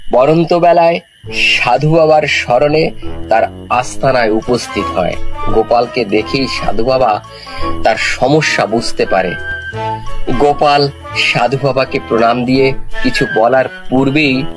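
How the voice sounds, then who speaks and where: A man narrating a scripted folk tale in a measured, literary storytelling manner. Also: medium pitch, over music.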